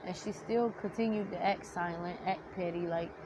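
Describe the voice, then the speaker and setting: A woman's voice: lowish voice, fairly even intonation, moderate pace. A young woman with a slightly nasal, teary-sounding voice, ranting heatedly to camera with blunt, heavy swearing. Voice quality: nasal, like about to cry. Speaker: vlogger. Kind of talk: storytime rant to camera. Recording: noticeable background noise.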